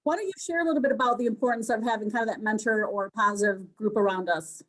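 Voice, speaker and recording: Host: woman, slightly high voice, warm encouraging interviewer, video call sound. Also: quick pace. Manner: encouraging, asks long winding questions. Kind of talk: career interview questions. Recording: video call with unstable connection.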